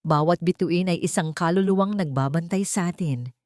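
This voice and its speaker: A gentle nanny, acted by a woman storyteller, humble and forgiving. She speaks softly and respectfully in a low register. The recording is close and dry, in audio drama style. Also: normal speed, no echo, lightly acted. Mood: wistful, calm. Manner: soft, respectful, calm.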